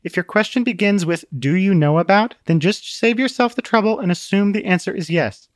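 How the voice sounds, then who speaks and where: A man's voice: high-pitched, big pitch swings, a little fast, fairly bright tone, no room sound, studio quality, pitched above the performer's own voice. A man voicing a haughty, easily irritated woman in an audiobook, with a prim, condescending manner. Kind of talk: audiobook character voice.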